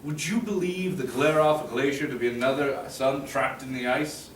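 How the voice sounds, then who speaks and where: A man's voice: medium pitch, normal speed, a little hiss or hum, close to an everyday voice. A man voicing a proud, boastful aging hero at a table read, declaiming in a roomy room with a distant mic.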